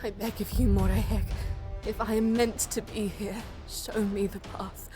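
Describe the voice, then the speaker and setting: A woman's voice: mid-range voice, level tone, measured pace, close to an everyday voice. A woman playing a mystical, driven alien elf seer in an audio drama, speaking with solemn, theatrical intensity. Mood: pleading. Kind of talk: dramatic first-person audio drama narration and dialogue. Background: music.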